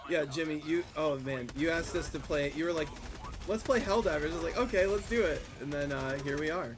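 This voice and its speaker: A man's voice: on the high side, brisk. Flustered male host mid-game. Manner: flustered, rambling. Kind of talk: casual chat while gaming.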